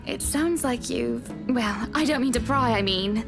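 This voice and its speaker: A high-voiced young lady of means speaks politely with a curious, gently teasing manner. It is visual novel game acting, with music playing behind her. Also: measured pace, vocal fry. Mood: gentle, admiring.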